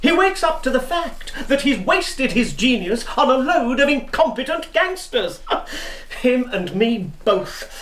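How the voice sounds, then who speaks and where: An actor playing a tipsy, sardonic British intelligence officer in a radio drama, talking in a theatrical way. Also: male voice, very high voice, big pitch swings, measured pace, a bit bright, reverberant, slight background noise, full character voice.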